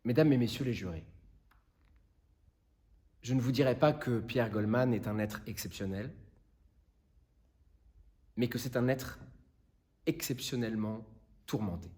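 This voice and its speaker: Male actor for earnest, impassioned defence counsel, lighter-pitched man, deliberate and solemn courtroom oratory. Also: lively intonation. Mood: grave, hushed pleading. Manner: solemn, rhetorical, deliberate phrasing. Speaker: actor performing a monologue. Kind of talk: theatrical courtroom closing speech.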